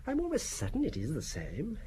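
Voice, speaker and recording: An actor playing a courteous, obsessive middle-aged gentleman of the law in an audio drama, talking in an eager, effusive, theatrical way. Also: fairly high pitch, wildly swooping pitch, fairly quick, slight background noise. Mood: flustered, confiding.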